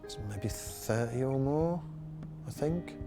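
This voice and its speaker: A man's voice: mid-range voice, lively intonation, slow pace. A man in his fifties, a band's rhythm guitarist, speaking earnestly in a documentary interview. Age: 50s. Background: music bed.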